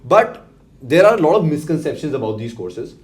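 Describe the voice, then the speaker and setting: A man's voice: a little high, fairly quick, husky. A young man hosting a student interview, talking energetically to viewers and guests. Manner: energetic, addresses viewers as "guys". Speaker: interviewer and video host. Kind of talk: upbeat talk to camera and interview.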